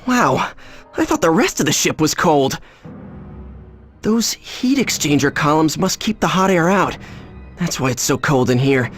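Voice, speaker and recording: An actor voicing a brave, wisecracking teenage boy hero in a video game, determined and quick with a joke. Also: on the high side, animated, fairly bright tone. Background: music.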